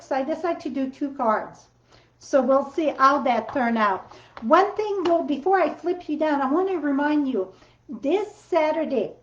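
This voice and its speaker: Brief: woman host with a French accent, high and unhurried, chatty livestream demo, roomy home craft-room sound. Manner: chatty, rambling, loose non-native grammar. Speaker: card-making livestream host. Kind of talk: casual live demo chat. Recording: roomy, slightly dull sound.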